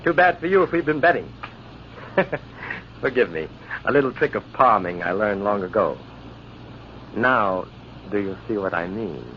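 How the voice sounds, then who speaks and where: Casting: self-assured, needling officer, formal, swooping intonation, dull noisy old radio sound. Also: male voice, lowish voice, animated, unhurried, pauses often. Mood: slyly challenging. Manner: formal, pointed. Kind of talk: old-time radio drama acting.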